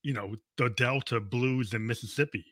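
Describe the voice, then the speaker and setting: Guy in his 50s, bright forceful voice, rambles and restarts, chatty host. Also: mid-range voice, normal speed, roomy sound. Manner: rambling, restarts often, laughs easily. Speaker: radio show host. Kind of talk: casual interview chat.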